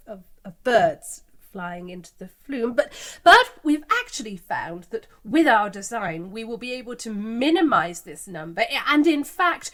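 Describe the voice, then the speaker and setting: A woman's voice: mid-range voice, lightly acted. An actress playing a breezy, evasive company spokeswoman in a satirical mock news interview, airy and confident as she glosses over problems. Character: breezy, evasive female company spokeswoman pitching an absurd scheme.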